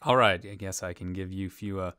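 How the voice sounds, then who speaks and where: An actor playing a wry, crude bartender who talks in a folksy, colloquial way and tells jokes in an audio drama. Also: male voice, low-pitched, a little fast, sharp tone, clear, resonant tone, dry recording. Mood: sly storytelling.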